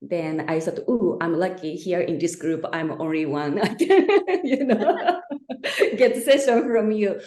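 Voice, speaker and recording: Slow-speaking Japanese-accented woman, echoey room. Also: on the low side, animated, darkish tone. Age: 40s. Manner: halting, frequent "uh" and "then". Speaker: posture coach, audience guest. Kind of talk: casual question on a video call. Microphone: video call.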